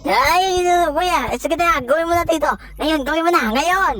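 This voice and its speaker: An excitable, playful cartoon stick figure voiced by a woman with a Filipino accent. The delivery is exclamatory and slangy, full of chatter and squeals, in the style of an amateur animation dub. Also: extremely high pitch, a little slow. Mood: eager, bossy.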